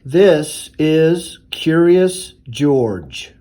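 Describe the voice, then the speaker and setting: A man reading a children's storybook aloud in a deliberate, expressive storytelling manner. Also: a little high. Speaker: university athletics director reading aloud.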